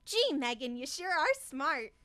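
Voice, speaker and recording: A woman's voice: sits high, sing-song, a little slow, pushed and bright, no room sound, exaggerated voice. A young woman playing a perky, naive schoolgirl in a campy radio comedy, reading her diary with exaggerated childlike delivery. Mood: perky, plucky. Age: young adult. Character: young schoolgirl Megan, chirpy, naive, gleefully rough. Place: small radio studio. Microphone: studio microphone on stand.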